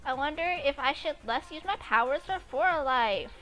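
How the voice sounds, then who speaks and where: A woman's voice: high voice, lively intonation, moderate pace, a bit bright, soft background music, voice pushed up, rough recording. A woman voicing a young female pink hedgehog, friendly, perky and earnest, in a fan fiction reading. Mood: perky, surprised.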